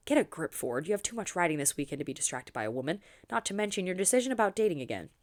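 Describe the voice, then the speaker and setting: A woman's voice: fairly low pitch, very animated, very fast, dry recording, studio quality. A woman voicing a guarded, chivalrous young rancher in a romance audiobook, speaking tersely. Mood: self-scolding. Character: reclusive rancher cowboy, gruff but chivalrous young man.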